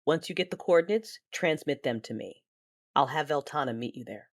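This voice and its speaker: A woman's voice: sits low, expressive, a little fast, no echo, studio quality. A woman playing a commanding, coolly controlled Sith Lord mother in a sci-fi audio drama.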